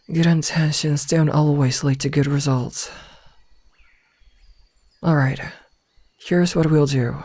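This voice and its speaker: Woman acting slow, commanding female werewolf omega. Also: sits low, mellow, no room sound. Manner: slow, deliberate, formal, with breathy whispered asides. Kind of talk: ASMR roleplay acting.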